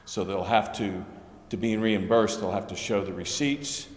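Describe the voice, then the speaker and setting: Very low, flat-voiced man in an echoey chamber. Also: moderate pace. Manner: hesitant, many ums and restarts. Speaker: city staff member presenting grant details. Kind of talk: explaining to a council meeting. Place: council chambers. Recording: echoey chamber, faint hum.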